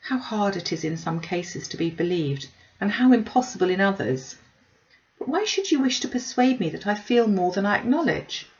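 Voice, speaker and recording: A woman's voice: mid-range voice, very animated, moderate pace, darkish tone, highs cut off. A woman voicing a witty, spirited young woman in a novel reading, articulate and pointed. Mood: teasing.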